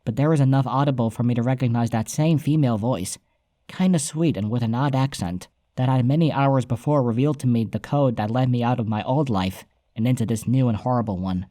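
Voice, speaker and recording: A man's voice: mid-range voice, fairly quick, warm tone, no room sound, studio quality. A man reading scripted first-person story narration as an audiobook narrator.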